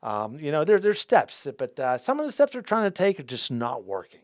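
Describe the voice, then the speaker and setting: Halting male host with a lively pitch. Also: dry recording, studio quality. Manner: halting, repeats words as he restarts, lively rises in pitch. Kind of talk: casual interview talk.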